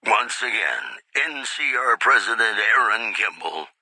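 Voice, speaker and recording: A silky radio crooner from a game's wasteland, bright and forward in tone and lively in pitch. He flatters his listeners with velvety charm, close to a dry studio mic. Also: a little slow, no echo, full character voice, rough recording. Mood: newsy. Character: smooth, flirtatious male radio DJ of the wasteland. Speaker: radio host and newsreader. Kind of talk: crooning radio announcer lines.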